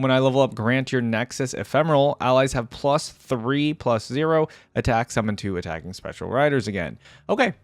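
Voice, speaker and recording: A man's voice: medium pitch, no room sound. Casual man hosting, dry close sound. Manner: informal, reads out then comments. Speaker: reaction video host. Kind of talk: casual chatty reaction commentary.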